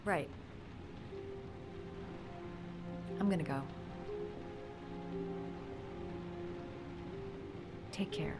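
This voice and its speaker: A woman playing a caring, guarded young helper in a cinematic video game, speaking gently and hesitantly. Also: sits low, quick pace, music in the background. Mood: concerned. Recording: clearly noisy background ambience.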